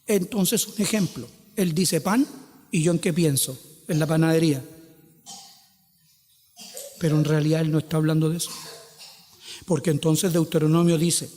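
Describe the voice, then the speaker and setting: A man's voice: fairly high pitch, fairly even intonation, moderate pace, long pauses, a little mellow, long reverb tail. A Chilean man preaching a sermon, posing rhetorical questions and stretching out quoted scripture with emphasis. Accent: Chilean Spanish. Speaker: pastor.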